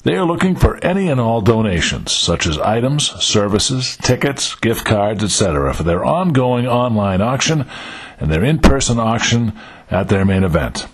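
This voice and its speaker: A man's voice: on the low side, big pitch swings, normal speed, breathy edge. A man reading a scripted charity announcement. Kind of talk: scripted charity appeal read.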